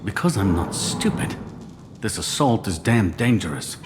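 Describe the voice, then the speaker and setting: Wary merc, low voice, sardonic, game, noisy with gunfire. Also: male voice, sing-song, a little slow. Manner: sardonic, reluctant. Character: wary, sardonic male freelance mercenary. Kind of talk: video game voice acting.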